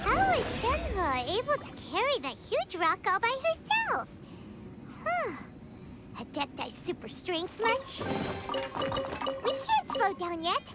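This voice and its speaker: A woman voicing a tiny, excitable fairy-like girl companion in an anime video game, with a squeaky, childlike voice and bubbly, exclamatory delivery. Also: slow, heavily acted. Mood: amazed. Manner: exclamatory, bubbly, refers to self in third person. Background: loud background music.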